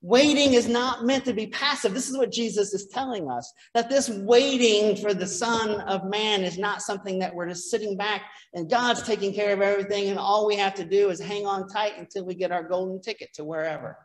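A middle-aged priest preaches in a relaxed, chatty way, slipping in self-deprecating asides. His voice sits a bit high for a man. The sanctuary adds a long reverb behind him. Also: brisk, hardly any pauses. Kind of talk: sermon. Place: church sanctuary, behind a pulpit.

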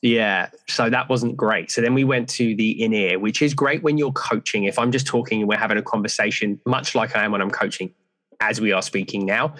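A man with a deeper voice, a fitness coach speaking as a podcast guest in a relaxed, rambling way. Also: a little fast. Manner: relaxed, rambling with fillers like "so yeah". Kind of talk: casual interview chat.

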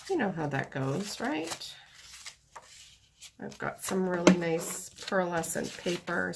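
A woman talks through her crafting in a slow, rambling, easygoing way, her nose stuffed up from a cold. Her voice sits low with a gravelly fry. The recording is noisy, full of paper rustling at the table. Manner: chatty, rambling, relaxed while working with her hands. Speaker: craft video host. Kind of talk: casual craft tutorial talk. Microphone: camera above a tabletop, hands only.

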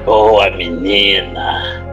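An actor playing a menacing, calculating crime boss in an audio drama, speaking deliberately and with authority. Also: male voice, sits low, fairly even intonation, over music. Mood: cold, menacing.

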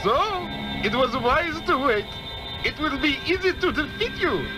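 An actor voicing a menacing, boastful spirit slave in a 1960s radio drama, theatrical and gloating. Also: male voice, very high-pitched, lively intonation. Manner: theatrical, gloating, drawn-out threats. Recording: old radio drama with music behind.

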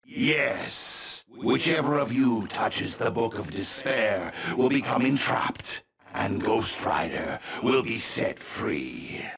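Casting: menacing game-villain demon lord, slow and grandiose, gravelly, with sweeping pitch. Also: male voice, fairly bright tone, full character voice. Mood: gloating menace. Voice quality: husky, gravelly. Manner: grandiose, slow, theatrical, commanding. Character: menacing, gloating male demon lord ruling an underworld. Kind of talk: video game villain acting.

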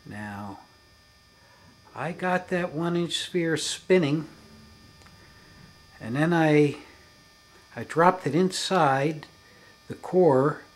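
A man giving an unscripted demonstration as a hobbyist, in halting, broken phrases with emphatic stresses. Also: a little high, slightly rough. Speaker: hobbyist demonstrating his electronics experiments.

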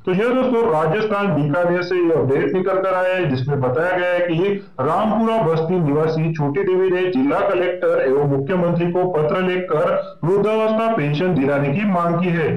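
Male news voice-over host, slightly high, steady explanatory delivery, dull lo-fi echoey sound with crowd noise behind. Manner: repeats stock phrases, steady explanatory reading. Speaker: pension news channel host. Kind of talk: voice-over reading news updates.

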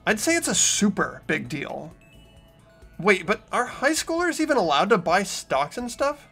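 A man plays an ordinary high school boy in a video game, earnest and easily amazed. The voice is on the high side and animated, with a creaky edge. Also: moderate pace, faint music behind, exaggerated voice. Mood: incredulous. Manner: earnest, questioning, incredulous. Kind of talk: visual novel game voice-over.

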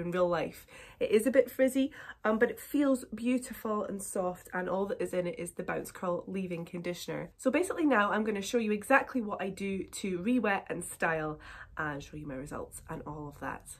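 A British woman in her thirties with a slightly croaky, blocked voice from a cold, chatting to viewers in a casual hair tutorial vlog from her bedroom. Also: mid-range voice. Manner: chatty, upbeat, talks to viewers. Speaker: curly hair YouTuber. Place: bedroom, indoors, small room.

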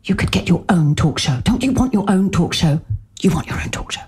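Breathy, husky, pushy woman agent. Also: on the low side, animated, fairly quick, darkish tone. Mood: persuasive. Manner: persuasive, breezily insistent. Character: pushy, upbeat, new-age woman book agent. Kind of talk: audio romantic comedy acting.

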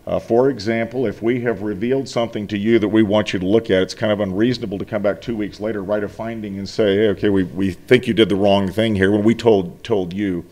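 A man's voice: fairly low pitch, steady tone, fast talker, few pauses, darkish tone. A middle-aged man giving a formal finance report to a board, speaking earnestly and emphatically, often restarting his phrases. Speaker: college finance officer. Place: meeting room, seated at a table. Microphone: desk microphone.

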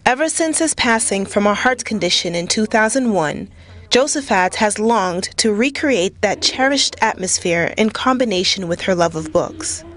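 A woman's voice: medium pitch, lively intonation, normal speed, a bit bright. A woman reporter reading a scripted narration for a local news feature.

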